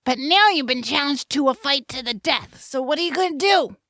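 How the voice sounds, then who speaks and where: A woman's voice: high voice, lively intonation, normal speed, sharp tone, no echo. An actress playing a brash, loyal, teasing young female adventurer in a fantasy audio drama. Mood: loyal, concerned. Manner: brash, plucky, slangy.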